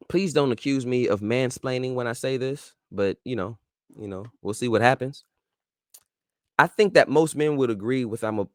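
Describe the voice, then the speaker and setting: A man's voice: medium pitch, dry recording, clean studio sound. A man hosting his own show, giving casual, slang-filled advice in an emphatic, preachy way and talking straight to his listeners. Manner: informal slang-filled speech. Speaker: show host.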